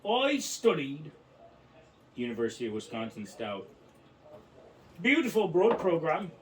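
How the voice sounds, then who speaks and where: Man playing a laddish British bloke with a put-on accent: high, bouncy, off-mic. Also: wildly swooping pitch, measured pace, recorded from a distance, noisy background. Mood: cheeky. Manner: cheeky, mocking, laddish banter. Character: crude boastful British bloke, adult man. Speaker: podcast co-host. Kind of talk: improvised comedy bit.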